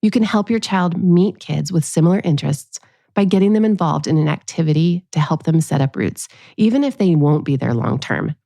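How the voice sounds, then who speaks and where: A woman's voice: sits low, animated, fairly quick, vocal fry, dark tone, no echo, studio quality. A woman hosting a podcast as a pediatrician, delivering scripted narration addressed to parents.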